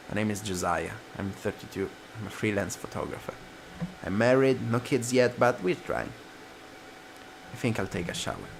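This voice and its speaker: A man's voice: low voice, creaky, a little hiss or hum, poor audio. A man reading a visual novel aloud and commenting casually as a gaming host, with guitar music behind him. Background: plucked guitar music.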